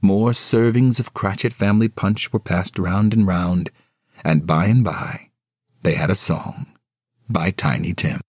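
A man's voice: deep voice, fairly even intonation, unhurried, darkish tone, husky, no echo, clean studio sound. A man narrating an audio play in a scripted, dramatic storybook style.

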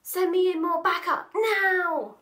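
Storybook villain mouse, played by a woman: crafty little gentleman, officious, commanding, high and sharp. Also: fairly even intonation, takes it slowly, heavily acted. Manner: clipped, commanding, pompous. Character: officious, crafty male mouse crime boss.

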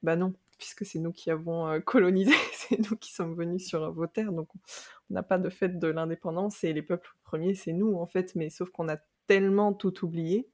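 A woman's voice: lowish voice, fairly even intonation, normal speed. A woman hosting a podcast interview, talking conversationally with frequent hesitations and easy laughter. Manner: warm, laughs easily, hesitant restarts. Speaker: podcast host.

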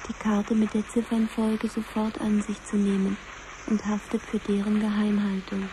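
Woman as an impersonal, flat-toned announcer. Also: medium pitch, normal speed, background noise, lightly acted. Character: impersonal female announcer reading out official regulations. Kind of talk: formal announcement in 1970s radio drama.

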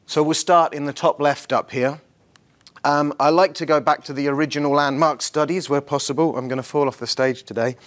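A middle-aged man with a slightly husky voice, giving an academic conference lecture in an informal, lightly self-deprecating manner. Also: a little high, steady tone, brisk. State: slightly husky from the night before. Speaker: university professor, conference speaker. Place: large lecture theatre, on stage before an audience.

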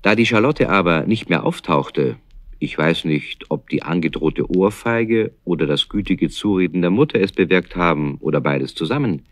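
An actor playing a kindly storyteller, narrating a scripted children's radio play. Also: male voice, sits low, lively intonation, normal speed, no room sound. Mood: amused. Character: male storyteller, lively and kindly.

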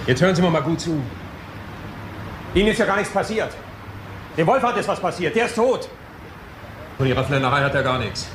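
An actor playing a brusque but caring boss who coaxes and scolds in a crime radio drama. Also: male voice, a little high, animated, fairly quick, pauses often, echoey room, noisy background, natural voice. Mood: scolding.

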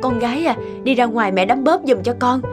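A caring cartoon mother, acted by a woman, worried over her child. Her voice is high. Loud music plays behind her. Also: expressive, a little fast, lightly acted. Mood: worried, soothing. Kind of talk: cartoon dubbing.

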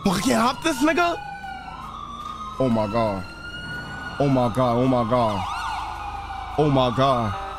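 A man streaming a role-play game, chattering in character in excitable slang and repeating his phrases. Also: mid-range voice, slow, pauses often. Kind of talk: in-character live gameplay chatter. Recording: sirens and vehicle noise behind voice. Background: game sirens and car sounds.

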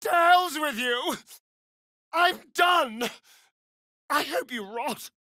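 An actor playing a vain, sardonic, centuries-old vampire spawn in a video game, with an arch, drawling, flamboyant delivery. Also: male voice, extremely high pitch, animated, very slow, sharp tone, no room sound, shouting, studio quality, exaggerated voice. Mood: outraged. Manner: arch, drawling, swinging from purrs to shrieks. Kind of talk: theatrical video game character acting.

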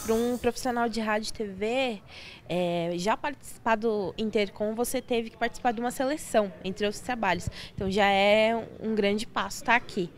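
A young Brazilian woman speaks in a TV interview. Her voice is slightly high and picked up by a handheld microphone. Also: steady tone, moderate pace, faint music behind. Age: young adult. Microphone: handheld reporter microphone.

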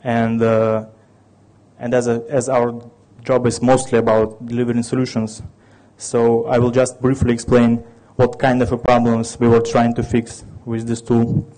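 A man's voice: mid-range voice, monotone, a little slow, dark tone, rough recording. A man in his thirties from Ukraine with a Russian accent, giving a technical conference talk with many restarts and fillers. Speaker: conference speaker.

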